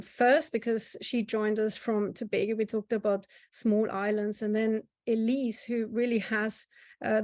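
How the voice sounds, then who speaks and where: A woman's voice: mid-range voice, a little mellow, no echo, clean studio sound. Earnest woman handing off a panel. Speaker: panel moderator and speaker. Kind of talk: casual panel talk.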